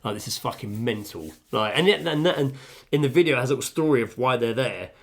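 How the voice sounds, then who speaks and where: Guy cohosting a chatty podcast, swears a lot, gets animated telling stories, normal pitch. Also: fast. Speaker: podcast co-host. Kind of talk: casual chat, reading out stories. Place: home kitchen.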